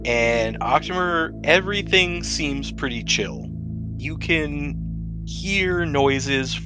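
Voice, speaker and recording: A man's voice: a little high, animated, slow. A man speaking as game master and host, narrating and bantering in a casual, sweary way. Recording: music behind the voice. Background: music.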